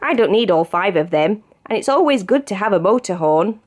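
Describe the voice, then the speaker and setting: A woman's voice: medium pitch, lively intonation, a little fast, natural voice. A woman voicing friendly, generous bikers in a children's storybook, eager and exclamatory, with a British accent.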